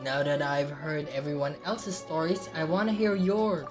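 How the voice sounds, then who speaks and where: Lowish voiced lady streamer, chill game commentary, music going pretty loud behind her. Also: steady tone, normal speed. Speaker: streamer playing a story game. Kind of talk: casual game commentary, reading along.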